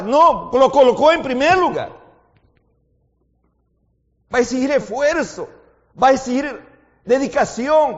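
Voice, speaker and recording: Man, preacher, native Portuguese speaker, fervent sermon, questions to the congregation, high lively voice, muffled sound, long hall reverb. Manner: swings from quiet talk to loud, impassioned preaching; asks the audience frequent questions.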